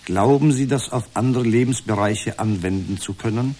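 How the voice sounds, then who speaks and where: An actor playing a calm therapist in a radio drama, speaking in measured, formulaic reflections. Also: male voice, lowish voice, a little hiss or hum, lightly acted. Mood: measured, reassuring. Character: male talk therapist, calm, formulaic, parroting his client.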